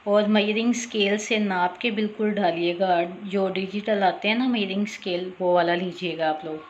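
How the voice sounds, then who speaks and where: A woman's voice: medium pitch, fairly even intonation, normal speed, muffled recording, slight background noise. Chatty woman narrating a recipe. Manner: chatty, repeats "theek hai", addresses viewers directly. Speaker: cooking channel host. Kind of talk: casual voice-over cooking tutorial.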